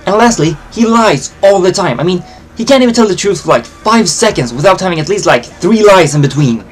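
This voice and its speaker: Swedish accent guy ranting blunt, kinda high voice, music under it. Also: expressive. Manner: blunt, lists points quickly. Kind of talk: ranting to camera.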